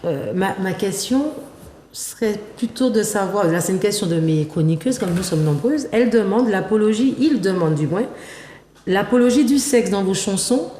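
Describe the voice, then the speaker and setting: A woman's voice: medium pitch, dark tone. A woman hosting a chatty talk show, teasing and playful with her guests. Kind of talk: chatty interview. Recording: echoey hall with faint noise.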